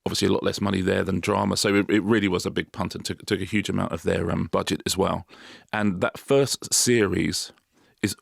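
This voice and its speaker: Man, very low gravelly voice, rapid talk, dry close studio sound, casual podcast chat. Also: fairly even intonation, no room sound. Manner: fast, storytelling, frequent restarts. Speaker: podcast co-host.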